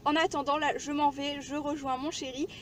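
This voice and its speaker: A woman's voice: sits high, level tone, a little fast. A woman talking casually and informally as a vlogger, in a chatty way. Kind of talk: casual vlog chat.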